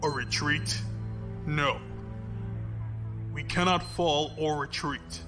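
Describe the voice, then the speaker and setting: An actor playing a proud, stubborn villainous fleet commodore with a haughty, commanding manner in a space opera audio drama. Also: male voice, fairly high pitch, fairly even intonation, slow pace, music in the background. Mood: grim, defiant.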